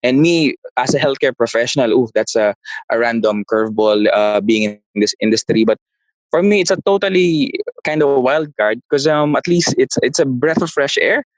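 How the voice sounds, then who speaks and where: A man talks through his answers in a relaxed, conversational way, pausing to search for the right word and restarting phrases. His voice sits at an ordinary middle pitch. The sound is dry and close, with no room echo. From Philippines. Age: 30s. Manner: searches for words, frequent restarts. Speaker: guest, property investor. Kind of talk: casual interview answers.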